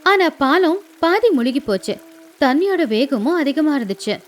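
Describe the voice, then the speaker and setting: A woman narrating a scripted animated moral tale as a storyteller. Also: sits high, normal speed.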